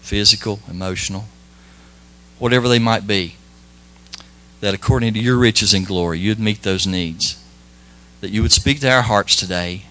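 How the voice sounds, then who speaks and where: A man giving an informal church sermon and prayer, folksy and modest, talking in halting phrases with many restarts and fillers. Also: on the low side, pushed and bright, rough recording. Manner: modest, plain-spoken. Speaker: lay preacher.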